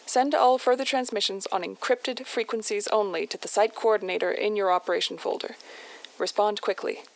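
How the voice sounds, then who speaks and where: A woman playing a formal, composed agency handler in an audio drama, speaking in a measured, official, politely firm way. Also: mid-range voice, fairly even intonation, vocal fry, background noise, close to an everyday voice. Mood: businesslike. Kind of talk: audio drama scripted radio message.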